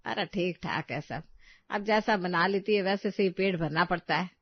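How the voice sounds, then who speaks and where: A woman voicing a bossy, sarcastic middle-aged mother-in-law in a family story, nagging theatrically and loudly. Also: fairly low pitch, moderate pace, highs cut off, lightly acted. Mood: casual.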